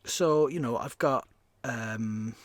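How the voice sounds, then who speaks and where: Relaxed Welsh man, dry close sound. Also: medium pitch, slow, no echo. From Wales. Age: 40s. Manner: rambling, many fillers and restarts. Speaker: podcast guest, radio presenter. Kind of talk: casual conversation.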